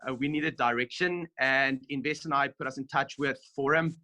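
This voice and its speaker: Bright-voiced South African man on a call. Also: mid-range voice, fairly even intonation, normal speed. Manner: friendly, loose, many ums. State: just finished a gym session. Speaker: rugby player and sausage company founder. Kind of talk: casual video-call chat. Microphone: video call.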